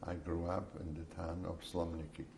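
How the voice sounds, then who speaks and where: A man in his seventies from Poland, giving slow, measured answers in an oral history interview. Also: low voice, little pitch movement, creaky, a little mellow, slight background noise. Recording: echoey room.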